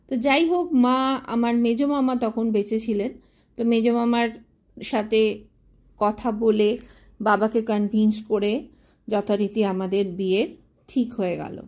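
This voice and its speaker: A woman, telling her own love story to viewers in a chatty, reminiscing way with frequent pauses. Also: on the high side, a little mellow. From Kolkata, India. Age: 50s.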